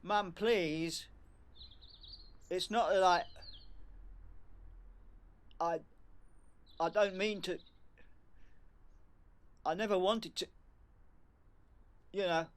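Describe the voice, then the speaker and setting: An actor playing an awkward, anxious grown son in an audio play, speaking haltingly and tongue-tied. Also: male voice, high voice, fairly even intonation. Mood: pleading, tongue-tied.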